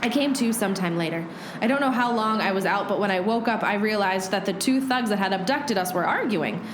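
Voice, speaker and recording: A woman's voice: mid-range voice, brisk, echoey room, noisy background, close to an everyday voice. A woman playing a plucky, defiant dame in a noir radio play, with theatrical, melodramatic delivery. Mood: recounting.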